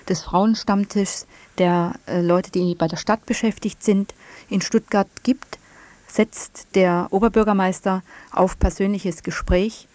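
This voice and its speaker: A woman's voice: mid-range voice, a little mellow. Woman reading a radio news report.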